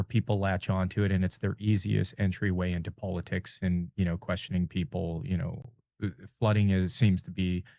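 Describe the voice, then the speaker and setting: Deep gravelly man in his forties. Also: a little mellow, no echo, studio quality. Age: 40s. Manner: earnest, repeats words as he builds a point. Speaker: guest. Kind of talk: casual interview talk.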